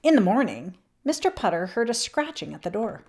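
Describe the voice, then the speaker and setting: A woman reading a children's picture book aloud expressively, with emphatic swells and hushed drops. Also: mid-range voice, very animated, fairly quick. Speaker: children's read-aloud channel host.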